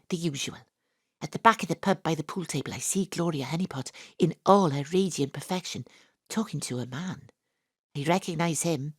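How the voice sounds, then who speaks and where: An actress playing an eccentric female psychic sleuth, narrating in a deadpan, earnest way. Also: lowish voice, no echo, studio quality. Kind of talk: comic first-person narration. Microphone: home studio microphone.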